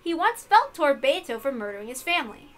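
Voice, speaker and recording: A woman's voice: high voice, sing-song, fast talker, soft background music. A woman narrating a visual novel in a dramatic story-reading style.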